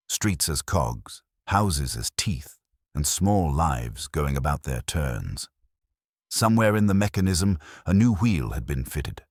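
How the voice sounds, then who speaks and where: A man reading scripted mystery fiction as narrator, in a measured, wry, literary manner. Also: extremely low pitch, slightly rough, no room sound, studio quality.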